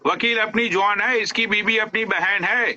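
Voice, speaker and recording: A man's voice: sits high, steady tone, unhurried, pushed and bright, muffled recording. A man hosting a live stream, teasing his guest with crude, mock-respectful banter and frequent swearing.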